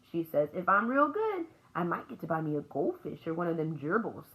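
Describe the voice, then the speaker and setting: A woman's voice: lowish voice, very animated, fairly quick, fairly bright tone, low-quality recording. A woman voicing a wide-eyed little girl in a storybook, blunt, chatty and childish, with folksy grammar. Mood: amazed, chatty.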